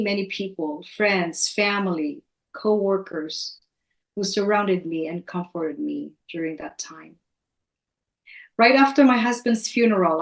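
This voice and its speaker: A middle-aged woman from the Philippines, giving an earnest personal testimony in a measured, sincere way. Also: lowish voice. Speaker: guest, elementary teacher. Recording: echoey room.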